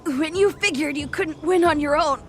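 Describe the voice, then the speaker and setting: A woman's voice: high voice, moderate pace, a little hiss or hum, natural voice. A woman playing a defiant, noble young boy samurai god, earnest and dramatic, in an audio drama. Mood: pained, bitter.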